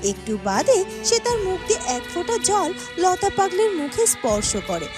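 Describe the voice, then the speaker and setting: A woman giving scripted, expressive storybook narration for a children's fairytale cartoon. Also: extremely high pitch, normal speed, music in the background.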